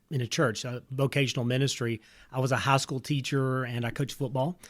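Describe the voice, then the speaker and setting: A middle-aged man from North Mississippi, an earnest missionary recounting his path in a relaxed interview, with fillers and restarts. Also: mid-range voice. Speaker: missionary and church planter. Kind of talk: casual interview storytelling.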